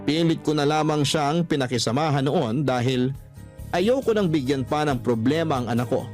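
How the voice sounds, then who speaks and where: A man's voice: a little high, normal speed, a little mellow. A man narrating a first-person story as a radio storyteller, with music behind him. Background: faint music.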